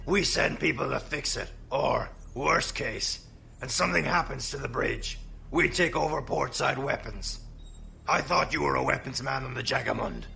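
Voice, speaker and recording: A man playing a gruff, sarcastic veteran gunnery crewman in an audio drama. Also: medium pitch, moderate pace, sharp tone, slight background noise. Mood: scornful, bored. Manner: gruff, scornful, dry.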